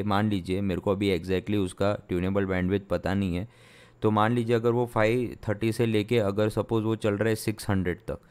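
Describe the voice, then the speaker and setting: A young man, a sound engineer from India, explains software step by step in a tutorial, thinking aloud with many fillers and restarts. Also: lowish voice, smooth. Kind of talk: screen-recorded software tutorial.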